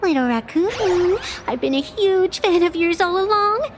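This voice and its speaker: A woman's voice: very high voice, expressive, unhurried, noisy background. An actress playing a playful, theatrical young woman with secret powers in a game, with a dramatic delivery. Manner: teasing, dramatic. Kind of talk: scripted game dialogue.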